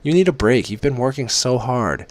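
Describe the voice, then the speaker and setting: A man's voice: medium pitch, animated, moderate pace, no echo, voice pushed up, lightly acted. A man reading aloud and voicing a doting, chatty middle-aged mother who fusses affectionately. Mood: coaxing. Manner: fussy, affectionate, lilting.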